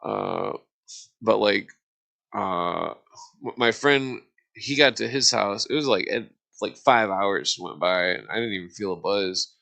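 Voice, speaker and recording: A middle-aged man with a low, bright voice that breaks into fry. He tells casual, rambling stories haltingly, circling back on himself in profane, slangy language. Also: sing-song.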